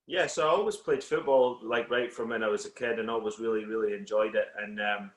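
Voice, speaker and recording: A Scottish man whose accent has softened a little, a football coach talking as a guest on a video call in a relaxed, reflective way with many fillers. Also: fairly low pitch, brisk, echoey room. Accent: Scottish, slightly Americanised. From Scotland. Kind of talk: casual interview on a call.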